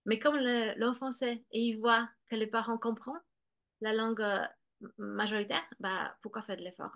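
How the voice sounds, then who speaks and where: A woman's voice: a little high, steady tone, normal speed, vocal fry, a bit bright. Hesitant woman explaining, with many fillers. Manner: acts out children's and parents' words. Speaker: guest expert on bilingual children. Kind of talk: explanatory conversation.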